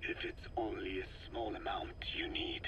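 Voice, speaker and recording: A man voicing an aged, solemn scientist sage in a video game, breathy and weathered, speaking in measured, formal phrases. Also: low-pitched, level tone, bright, forceful tone, whispered. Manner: slow, measured, formal and sparse.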